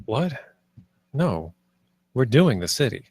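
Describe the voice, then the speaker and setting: A man's voice: medium pitch, big pitch swings, slow, a little mellow, breathy edge, no room sound, heavily acted. A man playing an earnest, stubborn theme-park designer in a screenplay table read, speaking insistently and pleadingly.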